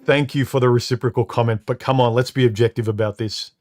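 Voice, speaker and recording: A man with a deep, somewhat muffled voice hosting a live stream from home into a microphone, talking casually and haltingly, repeating words and filling pauses with uh and um. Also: steady tone, darkish tone. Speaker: live stream host reading comments. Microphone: studio microphone.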